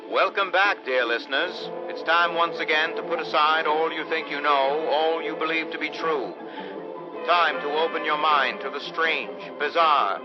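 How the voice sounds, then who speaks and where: Male actor as ominous anthology narrator, fairly high voice, theatrical, muffled vintage radio, music underneath. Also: lightly acted. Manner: portentous, theatrical. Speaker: narrator and host. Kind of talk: scripted 1950s-style radio horror narration. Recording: dull vintage radio sound, music behind.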